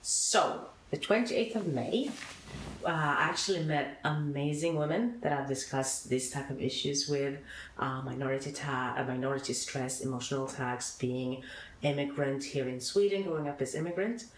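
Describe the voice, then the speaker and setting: A woman from Sudan, a founder being interviewed, giving earnest advice in short, halting phrases. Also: rumbling low. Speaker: guest, founder. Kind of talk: podcast interview answers. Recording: echoey room, faint noise.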